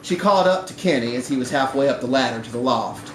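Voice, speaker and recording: A man's voice: mid-range voice, lively intonation, quick pace. A man reading a short story aloud expressively at a live event, indoors in a bar. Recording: roomy, noisy live bar recording.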